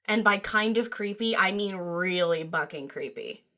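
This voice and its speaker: A woman's voice: mid-range voice, a little slow, bright, forceful tone. A woman voicing a brash, nonchalant young party-loving mare in a fan audio drama, with a flippant, teasing manner. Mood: brash, irreverent.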